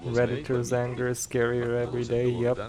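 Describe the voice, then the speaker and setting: A man casually commentating on his own speedrun, making quick laid-back asides. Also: mid-range voice, completely flat delivery, crowd noise. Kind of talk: casual commentary over gameplay.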